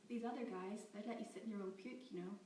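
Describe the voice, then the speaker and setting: Woman doing soft, patient captor, kind tone, roomy distant sound. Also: mid-range voice, fast, close to an everyday voice. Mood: gentle. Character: calm, gentle woman captor with patient kindness. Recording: roomy, distant, faint background hiss.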